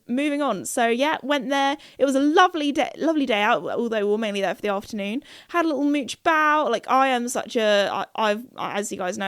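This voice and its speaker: Young woman hosting her podcast, rambling and chatty, a little croaky from a cold, with a higher-than-average voice. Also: dry recording, studio quality. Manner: rambling, jumps between topics, many fillers. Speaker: podcast host. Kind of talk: casual solo podcast chat.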